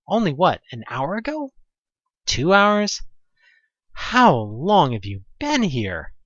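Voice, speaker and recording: Dramatic, animated horror narrator, dull dry recording. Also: mid-range voice, slow pace, dry recording. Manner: expressive, dramatic, conversational asides. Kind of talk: scripted second-person horror audio drama narration.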